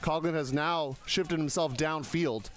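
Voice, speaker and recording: A man's voice: on the high side, a little slow. A man in his thirties giving live play-by-play sports commentary with casual banter. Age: 30s.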